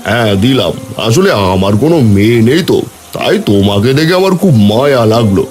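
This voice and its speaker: A man acts a village chief in a cartoon, kind yet commanding. His voice sits at a middle pitch and sounds fatherly and firm, with faint music underneath. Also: sing-song, fairly quick, a little mellow, close to an everyday voice. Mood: kindly. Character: kindly, authoritative male village headman.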